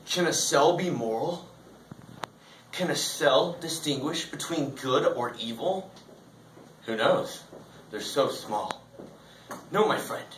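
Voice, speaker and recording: A man's voice: on the high side, fairly bright tone. A man performing a comic stage monologue as a hugely obese, grandiose philosopher, speaking in a theatrical, mock-solemn way. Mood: pompous. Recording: roomy, distant mic, faint noise.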